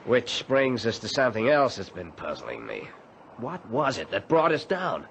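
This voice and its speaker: An actor playing an anxious, questioning crash survivor in a radio drama, doubting and hesitant. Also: male voice, medium pitch, big pitch swings, normal speed, gravelly, a bit bright, a little hiss or hum. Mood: puzzled, worried.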